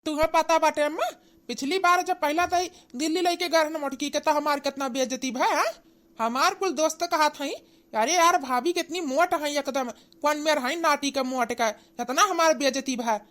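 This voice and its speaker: A woman's voice: high voice, moderate pace, fairly bright tone. A woman voicing an angry, callous village husband in a cartoon comedy, hectoring in an Awadhi accent. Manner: hectoring, repetitive scolding.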